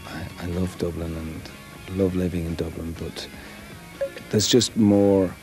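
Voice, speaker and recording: A man's voice: sits low, fairly even intonation, takes it slowly, music in the background. A man giving a thoughtful documentary interview, musing as he talks.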